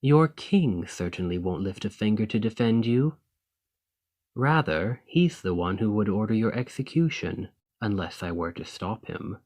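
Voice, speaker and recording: A man's voice: lowish voice, animated, a little mellow. A man playing an ambitious foreign noble in a fantasy audio roleplay, speaking in a formal, composed, courteous and persuasive manner. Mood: formal, coolly persuasive. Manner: formal, measured, deliberate, condescendingly sympathetic. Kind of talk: scripted fantasy ASMR roleplay monologue.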